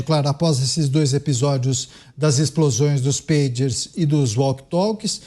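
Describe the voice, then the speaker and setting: A Brazilian man hosts a news debate show. His voice is soft-edged and mellow, sitting a little higher than most men's, as he moderates the discussion.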